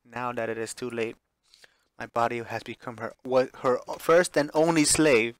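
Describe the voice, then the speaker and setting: A man with a medium-pitched voice narrates a visual novel on stream. His read-aloud delivery is dramatic but unpolished, with stumbles and repeated phrases. The sound is dry and close. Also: normal speed, no echo. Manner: stumbles over words and re-reads phrases.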